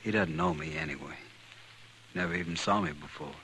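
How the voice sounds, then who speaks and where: An actor playing a polite, soft-spoken stranger set on revenge in a 1950s radio western. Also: male voice, deep voice, a little fast. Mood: quiet resolve. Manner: soft-spoken, courteous, resolute. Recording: faintly noisy old radio recording.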